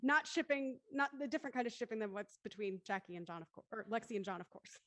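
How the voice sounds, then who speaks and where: A woman's voice: fairly high pitch, animated, fast, fairly bright tone, no room sound. An American woman chatting casually on a panel, talking in an explanatory way. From United States. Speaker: talk show panelist.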